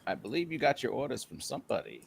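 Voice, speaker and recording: A man's voice: medium pitch, huge pitch swings, fast, vocal fry, a little hiss or hum. A man playing a tabletop role-playing game, talking in a wry, sly way. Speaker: player. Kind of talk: casual tabletop chat.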